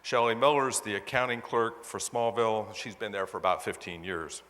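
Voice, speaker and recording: A low-voiced man presents to a meeting in a long, ringing hall. His tone is forceful and bright, and he explains things step by step like a conference speaker. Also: fairly even intonation, moderate pace. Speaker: presenter introducing a dramatized case study. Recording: echoey hall with long reverb.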